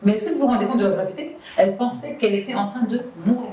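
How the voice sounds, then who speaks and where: A woman from France talks quickly and insistently, repeating words to drive her point home. Her voice sits at a middle pitch, in an animated panel discussion. The recording is roomy and a little far from the microphone. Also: slight background noise. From France, born in Paris, of Senegalese descent. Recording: roomy echo, distant microphone.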